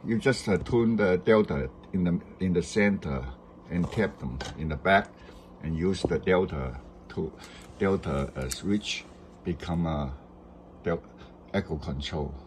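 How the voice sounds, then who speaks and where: A man's voice: low voice, unhurried, a little hiss or hum. A man giving a hands-on, unscripted step-by-step electronics tutorial, repeating short phrases for emphasis. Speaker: instructor demonstrating an electronics installation.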